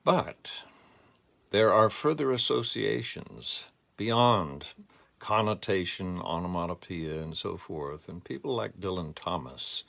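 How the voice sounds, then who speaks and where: A man with a gravelly voice speaking in a deliberate, measured way, as a poetry reader reflecting and reciting expressively. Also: deep voice, fairly bright tone. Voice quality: gravelly, creaky voice.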